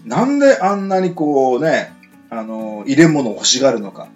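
A man with a western Japanese accent hosting a casual radio chat, joking and often chiming in with quick responses. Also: mid-range voice, lively intonation, soft background music. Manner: joking, frequent backchannel responses. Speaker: radio show host. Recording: roomy, slightly muffled recording.

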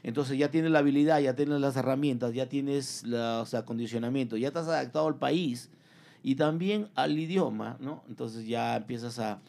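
A man who owns a business, a guest on a panel talk, explaining step by step and dropping softer at times. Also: mid-range voice, moderate pace, gravelly.

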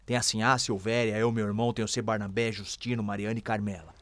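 A man's voice: lowish voice, flat delivery, fast talker, no echo, lightly acted. An actor playing a determined, protective enslaved man in a radio drama, speaking firmly and colloquially. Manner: firm, colloquial. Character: determined enslaved man, protective older brother, escape leader.

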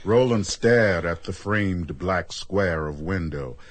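A man narrating a radio drama as a measured storyteller, reading scripted prose. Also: low voice, dry recording. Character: measured storyteller of a strange tale.

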